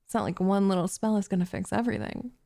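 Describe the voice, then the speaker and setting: Woman playing a soft, whispery, possessive witch, slightly creaky. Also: medium pitch, fast talker, lightly acted. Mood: wry, matter-of-fact. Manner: cooing, teasing, smugly condescending, often whispery. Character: doting, possessive young witch turning captive into pet cat. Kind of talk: ASMR roleplay, soft intimate delivery.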